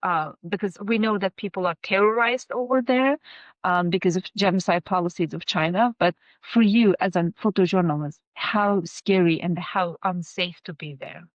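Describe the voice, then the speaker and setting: Halting low-voiced woman interviewer. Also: no room sound, dull audio. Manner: halting, repeats and restarts words. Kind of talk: interview questions.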